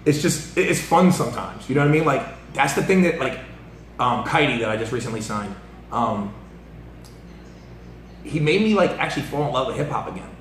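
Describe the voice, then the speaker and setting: A man in his thirties talking casually as a host, animated and profane, often laughing. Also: medium pitch, brisk, pauses often. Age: 30s. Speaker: podcast host and artist manager. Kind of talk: casual chat. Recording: echoey room with faint noise.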